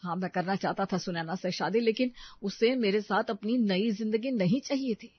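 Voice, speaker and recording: A woman's voice: medium pitch, normal speed, husky, dry recording, muffled recording, close to an everyday voice. A woman voicing an earnest, righteous young man in a melodramatic audio story, speaking with insistent conviction. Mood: defensive. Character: earnest, indignant young man defending a wronged widow.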